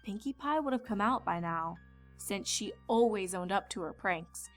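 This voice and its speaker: A woman's voice: medium pitch, animated, normal speed. Woman narrating a story over faint music. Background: background music.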